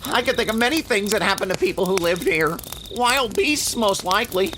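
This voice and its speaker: A woman's voice: mid-range voice, expressive, brisk, close to an everyday voice. A woman playing a squeamish, fussy, anxious space traveler in an audio drama, whiny and hesitant. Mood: worried. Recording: insect-filled jungle noise behind.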